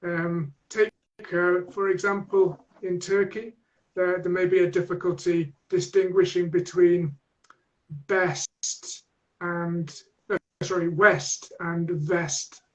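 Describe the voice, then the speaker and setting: High-voiced Mancunian man, lo-fi call. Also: level tone, slow pace. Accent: Manchester English. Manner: thoughtful, hesitant with many restarts. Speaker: guest teacher trainer. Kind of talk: online interview answers.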